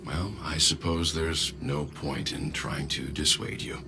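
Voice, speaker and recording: An actor playing a steady, caring middle-aged mentor in a video game, speaking in a measured, considerate way. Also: male voice, very deep voice, gravelly, background noise, exaggerated voice. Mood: concerned, resigned. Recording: loud music under the voice.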